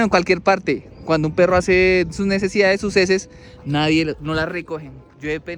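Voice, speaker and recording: A young man with a Colombian accent, talking casually to camera in a rambling, chatty way that keeps correcting itself, recorded outdoors in a city. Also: on the high side. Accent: Colombian Spanish. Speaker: vlogger.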